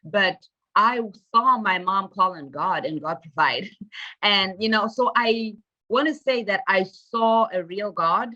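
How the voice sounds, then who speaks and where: A woman, a minister speaking earnestly and reflectively as a podcast guest, laughing easily and pausing on fillers. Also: mid-range voice, pushed and bright, dull audio. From Zimbabwe. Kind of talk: casual testimony in an interview.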